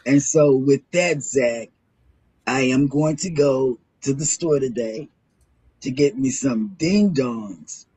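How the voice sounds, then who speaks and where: A man hosting a morning show in casual, rambling chat, with a throaty, hoarse voice from a sore throat. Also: medium pitch, slow, mellow, rough recording.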